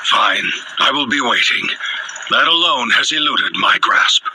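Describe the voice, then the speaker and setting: An actor playing a mysterious man in a video game, with a formal, measured delivery. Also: mid-range voice, expressive, natural voice. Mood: expectant. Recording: noisy background ambience.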